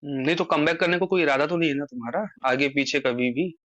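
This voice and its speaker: A man talks quickly and earnestly over a phone line, pressing his points and lifting his voice to stress them. The sound is dry and a little muffled, as if from a phone call recording. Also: fairly high pitch, a bit bright, dry recording. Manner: earnest, explaining at length, rising emphasis. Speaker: social media creator on a phone call. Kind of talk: casual phone conversation.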